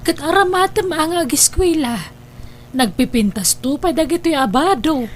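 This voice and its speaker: A woman playing a gentle, worried elderly grandmother in a radio drama, with a Filipino accent and a patient, admonishing manner. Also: sits high, animated, moderate pace, a little hiss or hum. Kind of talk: Ilocano radio drama acting.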